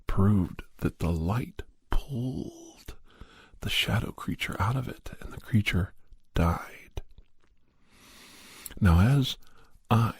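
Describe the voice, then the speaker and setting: A man with a very deep voice tells a first-person story in ASMR style. His tone is extremely husky and breathy, often falling into a whisper. He speaks deliberately in halting phrases, recorded dry and close. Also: darkish tone, no echo. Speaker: podcast host narrating a story in character as a doctor.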